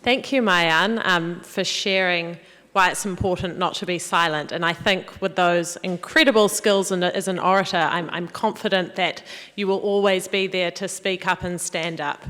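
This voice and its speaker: A woman's voice: on the low side, steady tone, normal speed, sharp tone. A woman acting as master of ceremonies, introducing speakers at a formal event in a semi-scripted way. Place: large parliament hall. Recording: long hall reverb.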